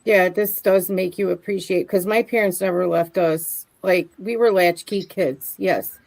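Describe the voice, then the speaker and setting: Low-voiced middle-aged woman chatting. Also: steady tone, unhurried, gravelly, darkish tone, poor audio. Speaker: livestream co-host. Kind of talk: casual chat.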